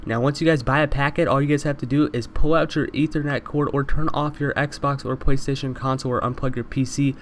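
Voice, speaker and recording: A young man with a stuffy, congested voice rattles through a gaming voice-over at a rapid clip, calling his viewers "you guys" again and again. His pitch sits in the middle, and there is a faint hiss of noise behind him. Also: fairly even intonation. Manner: repetitive, addresses viewers constantly as "you guys". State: congested from allergies. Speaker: gaming YouTuber. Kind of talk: fast voice-over over gameplay.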